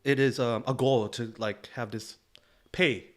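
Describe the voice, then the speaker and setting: A man's voice: mid-range voice, steady tone, unhurried. A young man co-hosting a casual podcast. He talks in a thoughtful, agreeable way, chewing over ideas and often checking in with his co-host. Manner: agreeable, reflective, lots of "you know what I'm saying". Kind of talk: casual chat.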